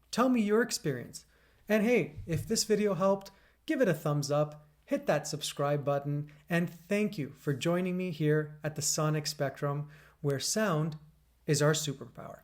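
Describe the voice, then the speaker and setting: A man talks through an audio gear review with a smooth, radio-DJ delivery. His voice sits a little higher than most men's, and he keeps things upbeat and punchy, slipping in wry quips. It is recorded close on a condenser mic indoors. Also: lively intonation, moderate pace. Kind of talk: scripted product review narration. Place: indoors beside a PA speaker. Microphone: USB condenser mic.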